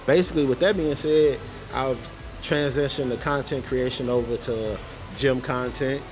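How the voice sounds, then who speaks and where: A laid-back man hosts a casual chat, joking around and speaking in loose slang. Music plays clearly behind his voice. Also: medium pitch, normal speed. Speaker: vlog host.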